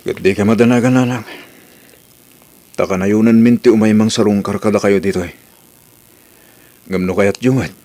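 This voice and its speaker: A man's voice: lowish voice, fairly even intonation, normal speed, long pauses, warm tone, lightly acted. A man playing an adult son who pleads with his mother in an amateur audio drama. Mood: pleading.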